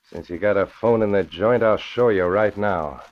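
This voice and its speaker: A man's voice: lowish voice, moderate pace, slight background noise, lightly acted. An actor playing a cocky, vengeful escaped convict with slangy wise-guy talk, in 1940s radio style. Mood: cocky, scheming. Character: tough, scheming male escaped convict gangster, vengeful and cocky. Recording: muffled old radio recording.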